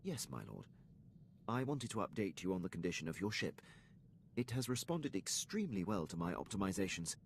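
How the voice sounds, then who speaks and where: An actor playing a formal, dutiful imperial starship captain in a video game, with stiff, deferential and precise military diction. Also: male voice, sits low, sing-song, a little fast, slight background noise. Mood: formal, proud. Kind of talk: scripted video game dialogue.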